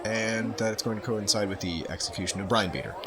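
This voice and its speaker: A man's voice: on the low side, fast talker, noisy background. A man narrating a tabletop game as game master in a casual, slangy, improvised way.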